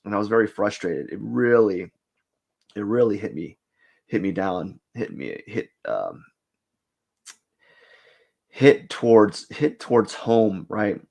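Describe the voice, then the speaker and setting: A man streaming live as he draws, talking casually in a raspy, cracky voice and rambling as he corrects himself and thinks aloud. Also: fairly low pitch, expressive, slow, pauses often, darkish tone. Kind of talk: casual live stream chat while drawing.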